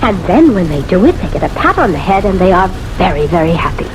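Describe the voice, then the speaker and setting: A woman's voice: on the low side, sing-song, fast, over music, rough recording. An actress playing a bossy, sly, smugly cruel woman who gives orders with condescension in an old-time radio drama. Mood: smug, controlling. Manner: commanding, condescending.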